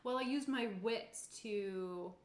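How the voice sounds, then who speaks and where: A woman's voice: a little high, slow pace. A woman casually explaining game rules as game master, in an easygoing, apologetic way. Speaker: game master running a tabletop roleplaying game. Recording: roomy, echoing room sound.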